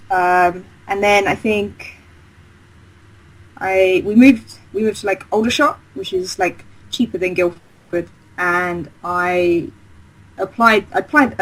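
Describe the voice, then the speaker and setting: A woman's voice: mid-range voice, a bit bright, low-quality recording. A woman, telling her career story in a chatty, rambling way, full of fillers, restarts and enthusiasm. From Essex, England. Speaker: game designer guest. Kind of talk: casual interview chat.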